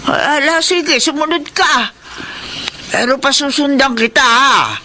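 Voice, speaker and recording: A woman's voice: high voice, a little slow, pushed and bright, slight background noise. A woman with a Filipino accent playing a worried, protective mother in a radio drama. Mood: wary, concerned. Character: worried, protective mother wary of political schemes.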